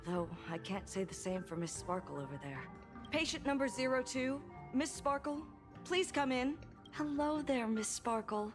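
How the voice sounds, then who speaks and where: A woman's voice: high voice, lively intonation, normal speed, over music. A woman voicing a witty, teasing female doctor in a video game, playful, knowing and theatrical. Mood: playful welcome.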